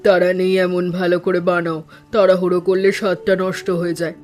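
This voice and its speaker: A man voicing a calm, wise elderly mother-in-law in a cartoon, speaking in an even, advising tone. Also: high-pitched, fast, faint music behind, natural voice. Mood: gentle, advising. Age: older. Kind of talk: cartoon voice acting.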